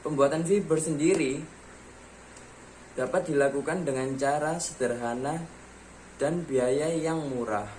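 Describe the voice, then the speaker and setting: A man's voice: fairly high pitch, a little slow, pauses often, a little mellow, slight background noise, poor audio. A man reading a scripted educational voice-over about materials, stepping steadily through a list of points. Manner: reads steadily from a script, listing steps in order. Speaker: student presenter.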